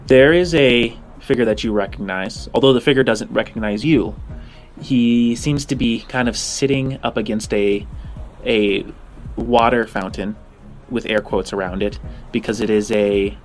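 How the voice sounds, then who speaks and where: A man improvising narration as game master of a tabletop game, in a halting, informal, descriptive way. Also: mid-range voice, faint music behind.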